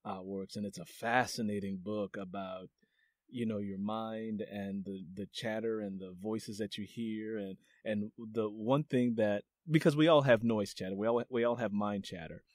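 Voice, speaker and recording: A man's voice: lowish voice, fairly even intonation, moderate pace, no room sound. Easygoing male podcast host, dry sound. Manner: easygoing, laughs often. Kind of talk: casual interview chat.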